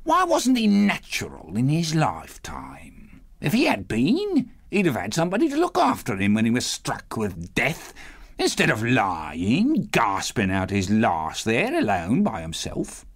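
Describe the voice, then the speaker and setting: A man reading aloud, voicing a bold, coarse old charwoman who talks in a shrill, brash way. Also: huge pitch swings, a little slow, full character voice. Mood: brazen.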